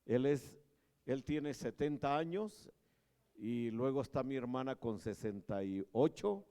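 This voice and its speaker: Slow-speaking man in his sixties preaching. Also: medium pitch, clean tone. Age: 60s. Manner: slow, joking, grows loud when preaching. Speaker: pastor. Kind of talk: sermon. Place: large church hall. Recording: long church reverb.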